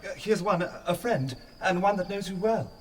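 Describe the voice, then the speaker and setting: A man's voice: high voice, animated, moderate pace. A man playing a timid, fearful young servant in classical Shakespearean radio drama, nervous and hesitant. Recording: slightly distant, faint night ambience.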